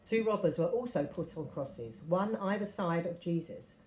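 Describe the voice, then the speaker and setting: A woman reading a Bible story aloud as scripted narration, with expressive delivery of quoted speech. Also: on the low side, normal speed. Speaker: story reader.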